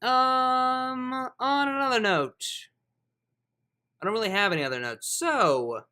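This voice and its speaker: A man's voice: very high voice, slow pace, sharp tone, clean tone. A man who reads fan fiction aloud and hosts his own channel. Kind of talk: dramatic scripted story narration, then casual outro. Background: music.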